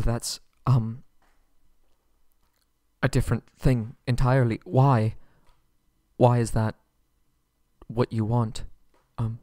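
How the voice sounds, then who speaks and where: Captive young prince, played by a man for ASMR roleplay: meek, courteous, pleading, slow stammered speech, dry close sound. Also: mid-range voice, mellow, no echo, natural voice. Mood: bewildered, anxious. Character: nervous, submissive, polite young prince held captive. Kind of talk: ASMR roleplay audio drama.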